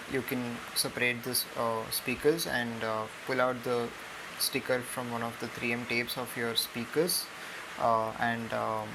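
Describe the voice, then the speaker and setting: Flat-toned Indian man with a gravelly edge. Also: mid-range voice. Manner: hesitant, halting delivery full of ums. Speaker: product reviewer. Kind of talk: casual unboxing and tutorial narration. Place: indoors on a room floor. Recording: noisy home recording with steady hiss.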